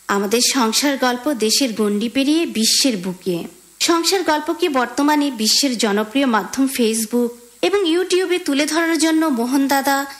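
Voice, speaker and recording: A woman co-hosting a radio show, reading out listener letters in an expressive, presentational way. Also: high-pitched.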